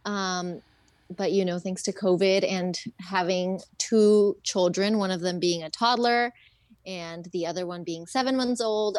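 A woman's voice: medium pitch, dry recording. Frank, giggly woman from Ecuador. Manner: laughing, frank, many fillers. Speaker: podcast guest. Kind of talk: casual chat.

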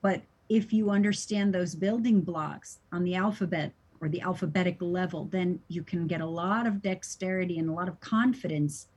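An American woman who teaches Sanskrit, talking in a relaxed, thoughtful way with many "you know"s. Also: lowish voice, normal speed, few pauses, slightly rough. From United States. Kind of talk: casual conversation.

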